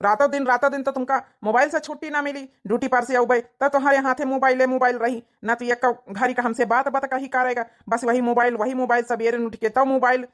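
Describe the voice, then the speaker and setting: Casting: cartoon working husband played by a woman, Awadhi accent, high voice, nagging and long-suffering. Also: fairly even intonation, brisk, hardly any pauses, lightly acted. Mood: aggrieved, scolding. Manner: complaining, long-suffering, scolding. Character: fed-up working village husband nagging his wife. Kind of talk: comedy cartoon dialogue.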